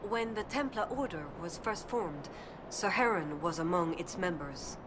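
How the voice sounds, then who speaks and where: A low-pitched young elven woman speaks as an earnest fantasy game scholar. Her delivery is precise, thoughtful and determined. Clear background noise sits behind the dialogue. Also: animated, unhurried. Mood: thoughtful, explanatory. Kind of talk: video game voice acting.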